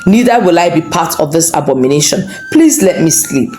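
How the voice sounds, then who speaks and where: An anguished, pregnant wife in a folktale, acted by a woman storyteller. She questions indignantly and emotionally, her pitch swinging widely. The voice sits in a roomy echo. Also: sing-song, brisk, dark tone, soft background music.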